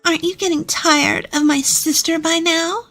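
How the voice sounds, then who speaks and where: A woman's voice: very high voice, fairly even intonation, moderate pace, gravelly, fairly bright tone, soft background music, pitched above the performer's own voice, heavily acted. A woman voicing a brazen, whiny, demanding young woman, the spoiled sister in a narrated family drama.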